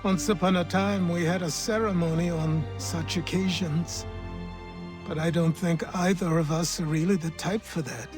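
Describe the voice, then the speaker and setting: An actor playing a stern older mentor who welcomes a new member into a secret brotherhood, speaking in a measured, solemn way, in a video game cutscene. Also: male voice, fairly high pitch, steady tone, music in the background, natural voice.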